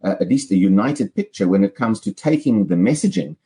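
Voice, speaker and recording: A South African man speaking as an analyst in an interview, articulate and measured, building long, careful sentences. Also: lowish voice, animated, dark tone. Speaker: political analyst guest. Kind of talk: interview commentary.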